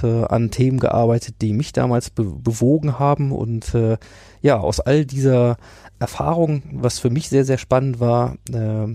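Mellow-voiced man hosting a podcast. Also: medium pitch, no echo, studio quality. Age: 40s. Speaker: podcast host, interviewer. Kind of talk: conversational podcast interview.

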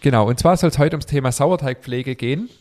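A man's voice: medium pitch, brisk, a little mellow. A man hosting a podcast in casual chat, a little stuffy from a cold, rambling with many fillers and restarts. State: has a cold. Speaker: podcast host.

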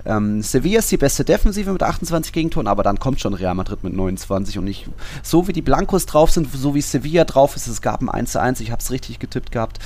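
A man's voice: medium pitch, sing-song, fast talker, a little mellow. A man hosting a football podcast, talking through results and statistics in a detailed, enumerating way. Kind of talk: sports podcast talk, running through statistics.

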